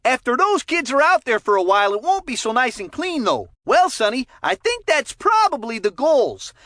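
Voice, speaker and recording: A woman voicing an excitable, chummy ex-player color commentator in a cartoon hockey game, with folksy, chatty delivery and mild exclamations. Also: high-pitched, normal speed, dry recording, clean studio sound. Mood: chatty, explanatory.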